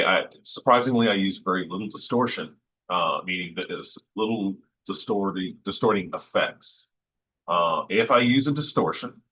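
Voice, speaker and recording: A man with a low voice talking casually about recording vocals, thoughtful and rambling with lots of fillers and restarts. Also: bright, forceful tone, slightly rough, lo-fi sound. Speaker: musician discussing vocal recording. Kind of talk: casual podcast chat.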